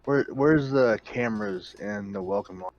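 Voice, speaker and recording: A man's voice: on the low side, moderate pace, darkish tone, no echo, lo-fi sound. A man chatting casually as a player in a tabletop roleplaying game.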